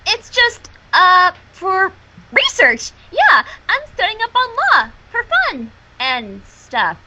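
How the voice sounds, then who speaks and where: Bookish, nervous cartoon unicorn girl acted by a woman, high and bright, flustered and evasive. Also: wildly swooping pitch, lo-fi sound, heavily acted. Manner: flustered, halting, over-innocent.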